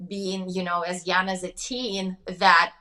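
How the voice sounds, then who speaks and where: A woman from Russia with a Russian accent, speaking fast and emphatically as a business coach in a podcast interview. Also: lowish voice, flat delivery, bright, forceful tone.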